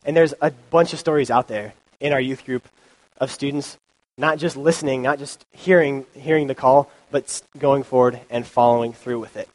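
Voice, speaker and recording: A man's voice: mid-range voice, steady tone, normal speed, poor audio. Young man with a cold, chatty youth sermon. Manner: informal, chatty, many ums and likes. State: has a cold, sniffly. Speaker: youth pastor. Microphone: handheld or stand mic.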